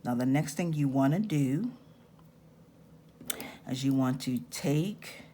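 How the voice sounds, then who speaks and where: Woman, slightly raspy, unhurried step-by-step craft teaching, faint hiss behind. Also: rumbling low, animated, mellow. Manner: slow, patient step-by-step instructions. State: raspy-voiced this morning. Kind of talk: hands-on craft tutorial.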